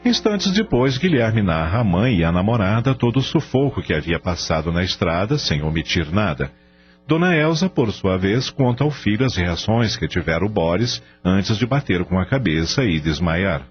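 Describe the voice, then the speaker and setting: A man with a fairly low voice narrates a radio drama from a script. His intonation moves widely, over faint music in a dull recording. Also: sing-song, normal speed.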